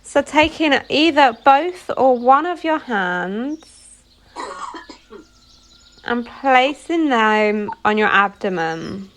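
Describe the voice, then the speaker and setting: A woman leading a guided meditation as a yoga nidra instructor, giving soothing instructions phrase by phrase with pauses. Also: on the high side, expressive, a little hiss or hum.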